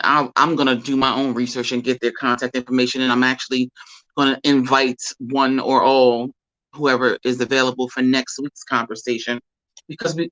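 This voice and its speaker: An American host with a slightly raspy voice, a bit under the weather, speaking informally and deliberately in a solo livestream commentary. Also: medium pitch, fairly bright tone, lo-fi sound. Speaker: host of a community talk show.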